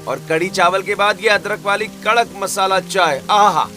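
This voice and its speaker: A man's voice: high voice, normal speed, pushed and bright, faint music behind. A man voicing a kindly, cheerful village farmer in a cartoon story. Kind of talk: cartoon story narration and voice acting.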